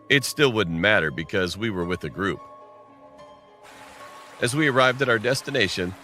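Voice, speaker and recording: A male narrator reads a scripted horror story in the first person. His pitch sits a little low but moves in a very lively way. Faint music plays behind him.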